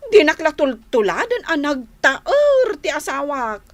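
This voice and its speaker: A woman's voice: high-pitched, big pitch swings, full character voice. A woman with an Ilocano accent playing a giggly, mocking younger sister and discontented wife in a radio drama. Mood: mocking amusement. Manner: giggling, teasing, drawn-out delivery. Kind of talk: Filipino radio drama acting.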